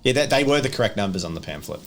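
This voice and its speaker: A man's voice: fairly low pitch, sing-song, very fast, fairly bright tone, slight background noise. A man working as game master, narrating a tabletop horror game in a casual, improvised way. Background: background music.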